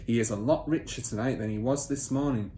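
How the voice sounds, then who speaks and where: A man voicing a boastful, bullying father and crooked car dealer in a children's storybook, loud, pompous and emphatic. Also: mid-range voice, a little fast, natural voice. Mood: boastful, brash. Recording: roomy echo, faint background noise.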